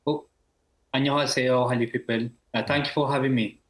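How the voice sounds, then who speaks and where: A man's voice: mid-range voice, steady tone, racing, a bit bright, roomy sound. A man from Ethiopia, a university professor in his thirties, answering interview questions over a video call with many fillers and restarts.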